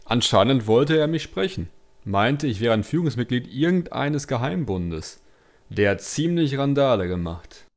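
A man's voice: mid-range voice, animated, measured pace. A man playing a smug, tasteless stand-up comedian in a parody radio play. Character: self-satisfied, tasteless male stand-up comedian.

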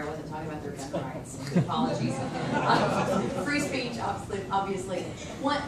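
A woman moderating a crowded community meeting, taking questions from the floor in a brisk, informal way. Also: mid-range voice, background noise. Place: large community meeting room, indoors. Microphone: phone camera in the audience. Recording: echoey hall, distant phone mic.

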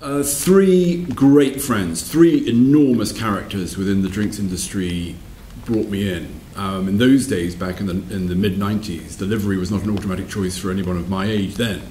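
A man of about sixty reminiscing in an interview, repeating phrases for emphasis between hesitant pauses. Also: lowish voice, warm tone, reverberant, a little hiss or hum. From south-west England. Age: around 60. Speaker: interviewee, whisky company managing director. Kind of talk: reflective interview storytelling. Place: wood-panelled room by a fireplace, indoors.

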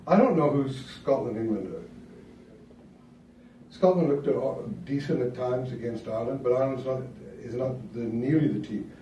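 A middle-aged man from Wales hosting a casual rugby chat in a small studio, relaxed and chatty, often repeating words as he thinks. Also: medium pitch, normal speed, far from the mic, a little hiss or hum. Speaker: host. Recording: roomy studio sound, mic set back.

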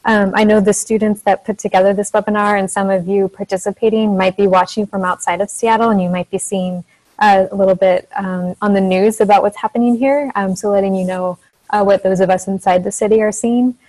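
Soft-spoken young woman presenting. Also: medium pitch, fairly even intonation, fairly quick, low-quality recording. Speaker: teacher giving a webinar presentation. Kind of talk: informal educational presentation. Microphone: earphone mic on a video call.

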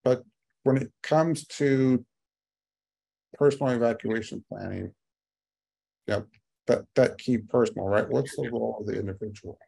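A man's voice: medium pitch, moderate pace, vocal fry, pauses often, warm tone, husky, low-quality recording. A man moderating a panel on a video call, conversational as he steers the questions.

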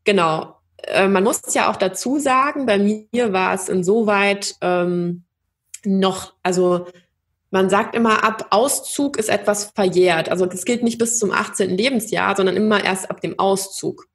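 A young woman from Germany telling her own story in an interview, thoughtful, with many pauses and fillers. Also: mid-range voice. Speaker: podcast guest. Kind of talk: personal interview.

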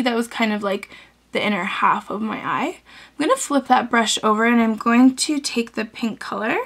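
A young woman with a high, gravelly voice that is hoarse from illness explains a makeup look step by step. She talks in a chatty, instructive way and sprinkles in "um"s. She sounds close to a camera mic in a small bedroom. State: hoarse from illness, losing her voice. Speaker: makeup tutorial host. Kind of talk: step-by-step beauty tutorial.

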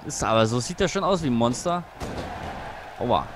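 A man's voice: medium pitch, lively intonation, moderate pace, background noise. A young man hosting a let's play, chatty and exclamatory as he reacts to a wrestling game. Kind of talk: excited gaming commentary with a friend.

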